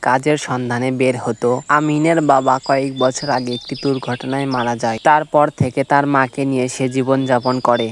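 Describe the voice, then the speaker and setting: A storyteller narrates a scripted cartoon moral tale at a medium pitch. Background noise can be heard behind the narration.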